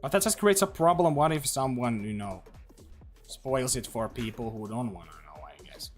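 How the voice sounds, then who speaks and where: A man's voice: medium pitch, lively intonation, moderate pace, faint music behind. A man live-streaming a game, rambling casually with lots of fillers and restarts, his throat a little dry. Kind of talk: casual livestream chat while gaming.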